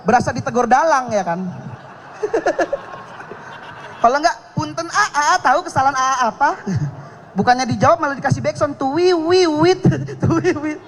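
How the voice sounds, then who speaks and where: A man's voice: very high-pitched, unhurried, a bit bright, slight background noise, rough recording. A man doing a stand-up comedy set on a live contest stage, cocky and teasing, with audience laughter behind him. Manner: cocky and teasing, slips into regional voices.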